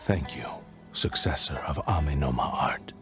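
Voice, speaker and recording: A man's voice: extremely low pitch, moderate pace. An actor playing a remorseful, humble fallen swordsman in a video game, solemn, formal and pleading. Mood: grateful, fading. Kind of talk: video game character acting. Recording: game music behind the voice.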